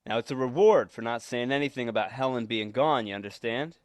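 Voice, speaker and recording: A man's voice: mid-range voice, brisk, smooth, dry recording, close to an everyday voice. Man playing a shifty, stammering husband, close dry sound. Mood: coaxing, uneasy. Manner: stammering, evasive, repeats words. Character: shifty, nervous husband hiding a dark secret, menacing. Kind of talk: 1940s radio suspense acting.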